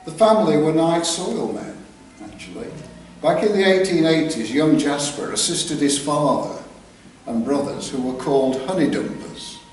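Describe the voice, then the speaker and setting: An older man with an English East Midlands accent, telling a story live with careful diction and dramatic pauses. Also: on the high side, animated. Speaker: author reading his own work. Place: live reading in a theatre clubroom. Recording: very echoey hall, distant mic, background noise.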